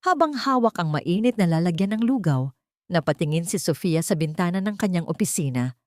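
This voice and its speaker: A Filipino woman narrates a dramatic story in a fairly low voice with lively, swooping intonation. She delivers it in measured, emphatic phrases, and the recording is close and dry. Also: no echo.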